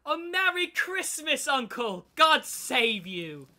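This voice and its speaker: An actor playing a cheerful, warm-hearted nephew in a radio drama, earnest, hearty and declamatory. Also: male voice, very high voice, sing-song, slow pace, full character voice. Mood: jovial, teasing. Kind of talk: stage-style radio drama acting.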